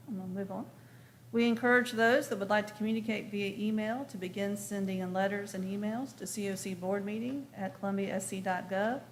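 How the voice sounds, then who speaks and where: Creaky-voiced woman chairing a meeting. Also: medium pitch, slight background noise, rough recording. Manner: businesslike, direct. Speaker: commission chair. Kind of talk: formal meeting procedure and questioning. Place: public meeting room.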